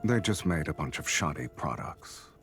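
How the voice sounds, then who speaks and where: An actor playing a cold, mocking villain boss in a dramatic game, with a smooth, taunting, commanding delivery. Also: male voice, very deep voice, big pitch swings, moderate pace, over music, heavily acted. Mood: mocking, unimpressed.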